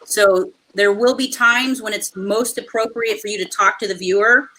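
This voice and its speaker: A woman hosting a live webinar, talking through a video call. Her voice is loud and carries, with a bright, forceful edge. She coaches in an energetic, chatty way. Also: medium pitch, moderate pace, poor audio. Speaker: host.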